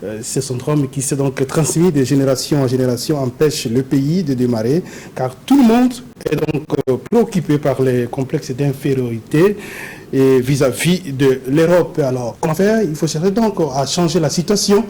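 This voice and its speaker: A man with a Congolese French accent hosting a television interview show in a formal, measured way. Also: mid-range voice, dark tone. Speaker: host of a TV talk show. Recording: clear background noise and ambience.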